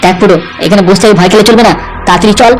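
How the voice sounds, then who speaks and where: A coarse male village henchman from a comedy cartoon as a voice actor plays him. The lines tumble out extremely fast and excitable, in a brash, scolding way, with music clearly behind. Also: mid-range voice, close to an everyday voice, low-quality recording. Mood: agitated. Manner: brash, scolding, rapid-fire. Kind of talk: Bengali comedy cartoon voice acting.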